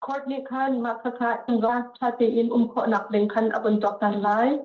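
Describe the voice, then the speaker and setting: A woman's voice: a little high, flat delivery, moderate pace. Woman interpreter on a tinny echoey phone line. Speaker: court interpreter. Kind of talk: interpreting court orders. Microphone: phone call.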